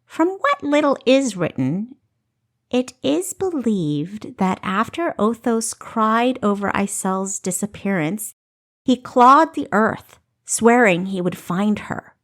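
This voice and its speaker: A woman voicing an animated female historian who retells ancient legends with emphatic storytelling in a fantasy audiobook. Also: on the high side, slow. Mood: knowing, storytelling.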